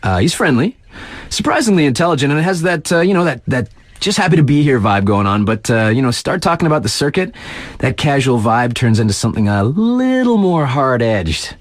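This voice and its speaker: Cocky radio DJ, swooping delivery. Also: male voice, medium pitch, sing-song, brisk, no room sound. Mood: laid-back, impressed. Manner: slangy, teasing, hype-man delivery with drawled emphasis. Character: laid-back, cocky, wisecracking male snowboard radio DJ. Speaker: radio DJ and sports announcer. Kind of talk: casual radio DJ patter, scripted for a game.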